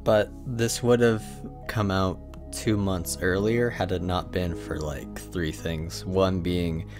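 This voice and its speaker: A very deep-voiced man, under the weather, chats casually over clear music. He sounds low-key and apologetic, like a creator signing off to viewers. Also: steady tone, slow pace. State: sick. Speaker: video creator. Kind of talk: casual outro chat.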